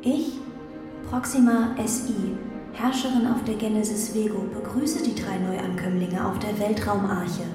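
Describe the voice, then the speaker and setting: Casting: actress for stately computer sovereign, commanding, medium pitch, huge echo. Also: female voice, a little slow, lightly acted. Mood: stately welcome. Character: regal artificial intelligence ruler of a space ark. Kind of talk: children's radio drama acting. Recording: cavernous reverb, music behind.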